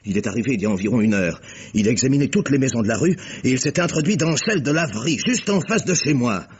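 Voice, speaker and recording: An actor playing an anxious, indignant doctor, husky and insistent, in a French radio crime drama. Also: male voice, medium pitch, expressive, quick pace. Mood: indignant, panicky. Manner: agitated, insistent, formal. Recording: dull, lo-fi old radio recording.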